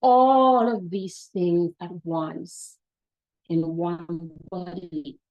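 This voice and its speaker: An older woman with a Jamaican accent gives a prepared, inspirational welcome speech as an institute leader over a video call, speaking deliberately and with emphatic repeated phrases. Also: fairly low pitch, steady tone, dull audio.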